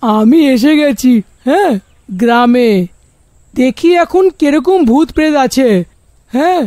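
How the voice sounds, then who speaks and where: A man's voice: very high voice, lively intonation, slow, a little mellow, no echo, studio quality, full character voice. A man voicing a brash, bantering village man in a cartoon, drawling and exclamatory. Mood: mocking, boastful. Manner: drawling, exclamatory, repeats himself.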